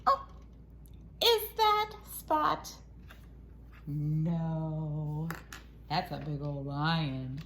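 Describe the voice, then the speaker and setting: A woman's voice: low-pitched, very animated, drawn-out words, long pauses. A woman reading a picture book aloud to young children as an educator, in an exaggerated, sing-song storytime manner. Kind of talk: animated children's read-aloud, modeling core words. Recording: loud noise behind the voice.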